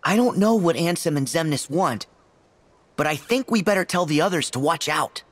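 Earnest, high-voiced teenage boy hero. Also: animated, moderate pace. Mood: earnest, determined. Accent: American. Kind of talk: animated video game acting.

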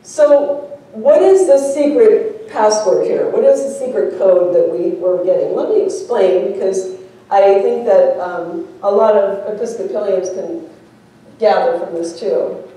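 Echoey, mellow American woman preaching. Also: medium pitch, measured pace, recorded from a distance. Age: middle-aged. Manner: informal, animated, anecdotal. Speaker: priest, preacher. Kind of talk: conversational storytelling sermon. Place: large church sanctuary, speaking before a congregation. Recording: echoey church, picked up from afar.

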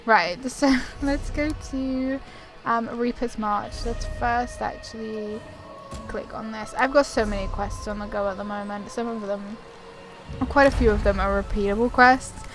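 High-voiced woman gamer over clear music. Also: steady tone, measured pace. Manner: chatty, reads dialogue options aloud. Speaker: gaming video creator. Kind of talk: casual game playthrough commentary. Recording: game music playing behind voice. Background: game music.

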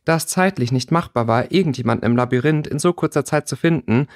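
A man's voice: medium pitch, quick pace, no echo, studio quality. A man reading fiction aloud as an audiobook narrator in a scripted storytelling style.

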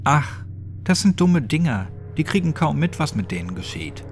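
A man voicing a gruff, crude, sadistic old man with a clipped, mocking way of talking, in a horror audiobook. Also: fairly high pitch, very animated, moderate pace, faint music behind, voice pushed up. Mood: dismissive.

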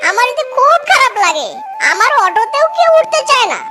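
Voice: extremely high pitch, very animated, a little fast, background noise. A performer voicing a shunned, self-pitying male fox in an exaggerated children's cartoon, plaintive and exclamatory. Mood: dejected. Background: loud children's music.

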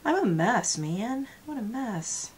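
Makeup vlogger, forties, sniffly, chatty and meandering, a bit of faint room hiss. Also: female voice, fairly high pitch, sing-song, slow pace. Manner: chatty, rambling, talks while applying makeup. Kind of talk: casual get-ready-with-me chat. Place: small home room with gaming chair.

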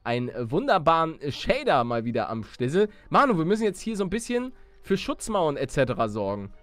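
A man's voice: a little high, big pitch swings, a little fast, a bit bright, no room sound. A man giving casual, chatty gaming commentary as a let's-play host, using youthful slang and addressing his viewers.

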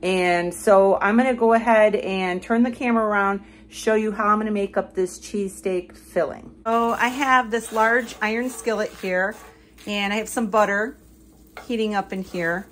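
A woman's voice: medium pitch, normal speed, a little hiss or hum. Chatty older woman vlogging cooking. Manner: chatty, explains each step plainly with fillers. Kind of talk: casual cooking vlog. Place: home kitchen.

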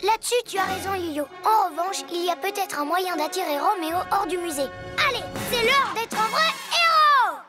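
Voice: very high voice, expressive, normal speed, few pauses. An actor voicing a sincere, earnest small boy superhero in a cartoon, sounding childlike. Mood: determined. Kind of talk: French cartoon dub acting. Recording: music behind.

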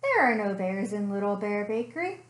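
A young woman reading a picture book aloud for children, slow and expressive with playful sound effects, recorded on a webcam in a small room at home. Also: medium pitch. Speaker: children's librarian. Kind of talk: storytime read-aloud.

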